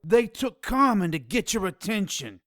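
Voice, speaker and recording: A man's voice: high-pitched, very animated, moderate pace, fairly bright tone, no echo, studio quality. A man playing an agitated, streetwise underworld informant in an audio drama, urgent and slangy. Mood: tense warning.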